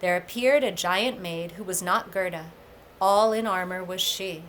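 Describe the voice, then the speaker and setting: A woman reading a myth aloud in expressive, deliberate storybook narration, with noise in the recording. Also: fairly low pitch. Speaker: story reader for a public library. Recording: clear background noise.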